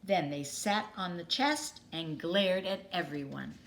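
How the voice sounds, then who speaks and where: A woman reading a children's story aloud expressively, with dramatic pauses and emphasis. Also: fairly low pitch, a bit bright, slight background noise.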